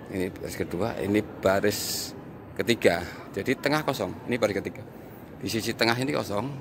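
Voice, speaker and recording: A low-voiced man talks through an improvised walk-through review. His delivery is halting and explanatory, with repeated phrases and a gravelly fry in the voice. The location recording has clear ambient noise behind him. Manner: halting, explanatory, counting and pointing things out, repeats himself. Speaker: audio reviewer and vlog host. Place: inside a large mosque, walking around.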